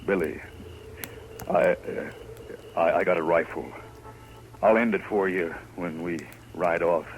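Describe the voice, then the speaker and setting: Low-voiced, troubled American cowboy. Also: male voice, fairly even intonation, unhurried, pauses often, muffled recording. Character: uneasy, conscience-stricken cowboy in a lynch party. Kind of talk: 1950s radio western acting. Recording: faintly noisy vintage radio drama recording.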